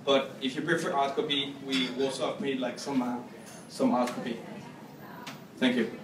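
A man's voice: mid-range voice, little pitch movement, far from the mic, noisy background. Man with a presenting in an echoey hall. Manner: halting, many restarts and "like" fillers. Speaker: conference speaker presenting a report. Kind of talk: conference presentation. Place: event hall.

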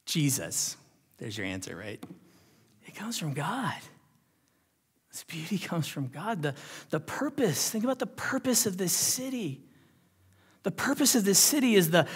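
A man delivering a sermon as a pastor, with a dramatic delivery that moves between hushed and emphatic. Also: sits high, very animated, a little slow, breathy edge. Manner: dramatic, swinging from hushed near-whispers to loud emphatic declarations; rhetorical questions and check-ins with listeners. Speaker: pastor preaching. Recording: long hall reverb.

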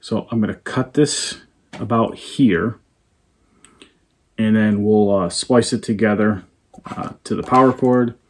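A man in his thirties with a husky voice, calmly talking himself through a hands-on install at a table indoors, often half under his breath. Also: on the low side, takes it slowly, long pauses. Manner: relaxed, muttering step by step, often half-whispered. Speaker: fishing channel host. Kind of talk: casual how-to narration while working.

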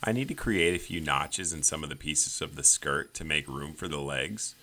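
A man's voice: a bass voice, normal speed, pushed and bright. A man in his thirties narrating his woodworking build in a plain, step-by-step voice-over. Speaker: woodworking channel host.

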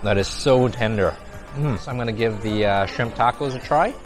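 A man's voice: mid-range voice, moderate pace. Man reviewing food, music behind. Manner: appreciative, exclaiming over food. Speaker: food vlogger, host. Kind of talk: casual food review to camera. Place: large busy buffet restaurant, indoors. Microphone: clip-on lapel mic. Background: background music.

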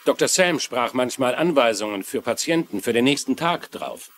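Ein Schauspieler spielt einen skeptischen, sachlich argumentierenden Polizei-Lieutenant in einem synchronisierten Fernsehkrimi. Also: male voice, medium pitch, expressive, moderate pace, a bit bright, a little hiss or hum. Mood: matter-of-fact. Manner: matter-of-fact, argumentative. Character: sceptical, matter-of-fact male police lieutenant. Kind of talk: German-dubbed TV crime dialogue.

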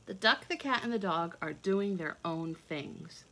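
A woman in her thirties reading a picture book aloud for children in a deliberate, sing-song, teacherly way, with asides to the listeners. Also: lowish voice, animated, pushed and bright, slight background noise. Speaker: read-along storyteller for children. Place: indoor rooms at home.

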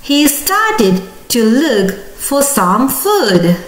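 A woman narrating a children's storybook in an expressive, deliberate, drawn-out read-aloud style. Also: fairly high pitch. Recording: roomy, echoing sound.

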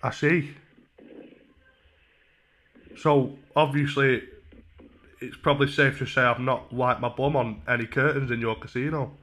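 A man in his twenties with a Northern English accent, talking casually and mock-earnestly as a deadpan, hesitant prank caller at a desk microphone. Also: mid-range voice, unhurried, long pauses. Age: 20s. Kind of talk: casual prank phone call. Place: small room at home. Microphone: desk microphone on a stand.

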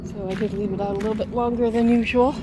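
Woman, medium pitch, casual vlog chat, rambling, musing aloud, handheld outdoor recording, clearly noisy background. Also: steady tone, quick pace. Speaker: vlogger. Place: outdoors on a wooded gravel driveway and yard. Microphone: handheld phone or camera. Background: clear outdoor ambient noise.

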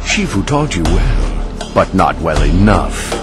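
An actor playing an arrogant, menacing snow leopard villain in a video game cutscene, taunting and theatrical. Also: male voice, sits low, sing-song, slow pace, over loud music, heavily acted. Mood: scornful. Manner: taunting, dramatic, theatrical delivery.

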